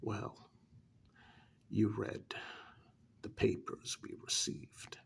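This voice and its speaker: A man in a soft-spoken ASMR story role-play, narrating a horror tale in a breathy, gravelly half-whisper as an asylum director, pausing between short phrases. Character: asylum director calmly recounting eerie past events. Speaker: narrator telling a horror tale in first person.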